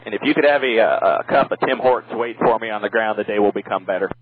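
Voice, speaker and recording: A man's voice: lowish voice, fast, breathy edge, no room sound. A man who is an airline pilot, talking casually and jokingly over the radio. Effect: radio transmission. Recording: tinny, phone-like cockpit radio.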